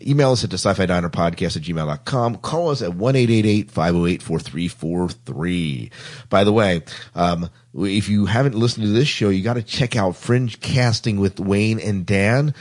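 A man's voice: on the low side, normal speed, darkish tone. A man hosting a podcast, chatting casually as he runs through show announcements. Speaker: podcast host.

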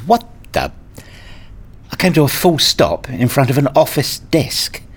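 A man's voice: medium pitch, sing-song, a little slow, slight background noise. An actor playing a weary, irritable academic who narrates his own day in a radio play, with a dry, sardonic manner. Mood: flustered. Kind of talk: scripted first-person narration, radio play.

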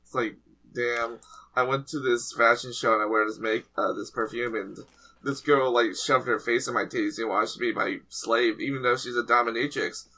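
A man's voice: medium pitch, steady tone, moderate pace, pushed and bright, poor audio. A man in a casual group chat, jokily paraphrasing what was read in slangy bits.

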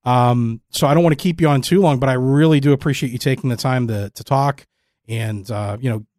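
A man with an American accent talking as a podcast host in a relaxed interview style. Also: mid-range voice, a little fast, dry recording, clean studio sound.

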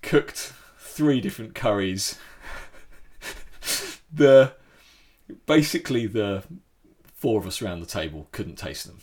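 Animated man telling a story. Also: on the low side, takes it slowly, pauses often. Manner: animated storytelling with frequent restarts. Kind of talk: casual chat.

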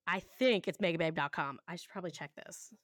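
A woman's voice: fairly low pitch, fairly quick, no echo, studio quality. A woman hosting a podcast in casual conversation, talking in a candid, emphatic, chatty way with free swearing. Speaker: podcast host.

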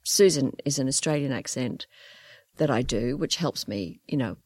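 A woman with a slight Northern Irish accent and a warm, comforting voice, a voice actor chatting animatedly in an interview. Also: a bass voice, normal speed, slightly rough, dry recording. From Northern Ireland. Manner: animated, laughs easily. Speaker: voice actor guest. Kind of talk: casual interview chat.

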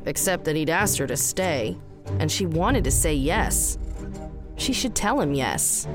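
Low-pitched woman narrating fiction. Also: big pitch swings, unhurried, music in the background.

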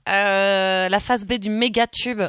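Casting: female radio co-host, mid-pitched, casual and teasing, recorded close and dry. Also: steady tone, slow, fairly bright tone, clean tone, no room sound. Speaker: radio music show co-host. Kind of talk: casual chat.

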